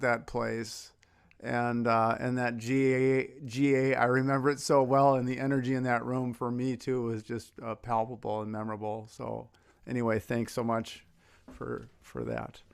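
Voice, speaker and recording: A man's voice: medium pitch, vocal fry, clear, resonant tone. An American man speaking informally and reflectively as a guest musician, with hesitant, grateful phrasing. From Minnesota, United States. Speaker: guest singer-songwriter. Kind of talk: informal, personal talk between songs.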